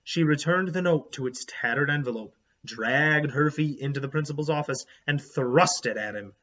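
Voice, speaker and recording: A man with a fairly high voice reads a children's book aloud in an expressive teacherly style. He leans on key words and speaks directly to young listeners. The recording is a little dull and lo-fi. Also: normal speed. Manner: animated, emphatic storytelling with questions to listeners.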